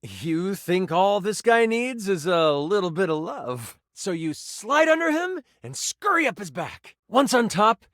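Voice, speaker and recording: Casting: theatrical fantasy game master, highly expressive delivery, conversational asides, dry studio sound. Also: male voice, measured pace, few pauses, no room sound, heavily acted. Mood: dramatic. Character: animated personified twenty-sided die running a fantasy game as game master. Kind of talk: dramatic tabletop fantasy narration with improvised asides.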